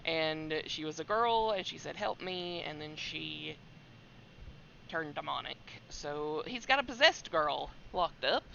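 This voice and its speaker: Lady streaming a horror game, super gravelly fry, halting casual chat, slightly dull mic, bit of noise. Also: sits low, animated, pushed and bright.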